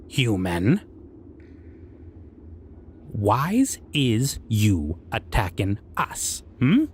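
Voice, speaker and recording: Slow, swooping goblin king. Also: on the low side, a little mellow, slight background noise, full character voice. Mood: questioning. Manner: broken grammar, musing hums and chuckles. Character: proud male goblin king of the north, civilized, amused, menacing. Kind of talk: roleplay audio monologue.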